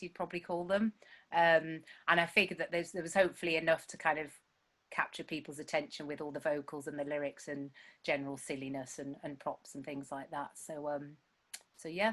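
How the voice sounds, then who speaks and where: Chatty woman, low-pitched. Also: fairly bright tone. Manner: chatty, self-deprecating, rambling with fillers. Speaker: songwriter guest. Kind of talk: casual chat.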